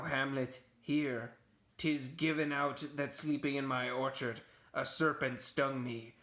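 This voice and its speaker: A man voicing the solemn, suffering ghost of a murdered king in a Shakespearean puppet show, declaiming in drawn-out phrases. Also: mid-range voice, creaky, bright, forceful tone, no room sound, heavily acted. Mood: solemn, bitter.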